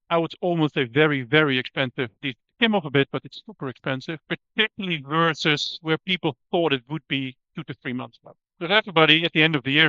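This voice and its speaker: A man with a Dutch accent, speaking as an energy strategist guest and explaining things in a thoughtful way, with many ums and restarts, often trailing off quietly at the end of a phrase. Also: on the high side, no echo, highs cut off. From Netherlands. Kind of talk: conversational expert explanation.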